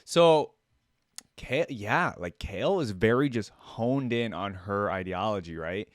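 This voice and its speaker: Low-voiced man giving casual fan commentary. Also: expressive, a little slow, no room sound, studio quality. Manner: musing, slangy, interjecting. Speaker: reaction video host.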